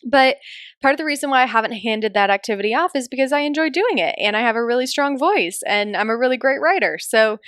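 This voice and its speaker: A woman's voice: on the high side, lively intonation, fast, a bit bright, clean tone, no echo, studio quality. A woman hosting a solo podcast in a casual, chatty and emphatic way, speaking informally as a business coach.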